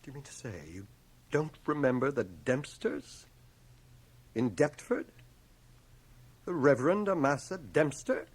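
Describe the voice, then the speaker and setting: An actor playing an aging, guilt-ridden bachelor schoolmaster in a radio drama, deliberate, literate and earnestly moralizing. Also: male voice, medium pitch, lively intonation. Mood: shocked. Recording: cassette transfer of 1980 radio drama.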